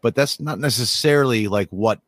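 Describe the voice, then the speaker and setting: A man in casual conversation, rambling with many restarts and a steady stream of "like". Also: medium pitch, normal speed, no room sound, studio quality. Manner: rambling, restarts often, says "like" a lot.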